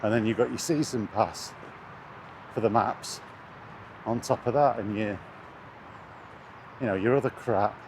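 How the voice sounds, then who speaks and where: A man's voice: on the low side, unhurried, long pauses, a little mellow. A British man in a casual, sweary rant, speaking bluntly and scornfully as a gaming vlogger out on a walk. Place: outdoors, walking along near traffic. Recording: outdoor street and vehicle noise.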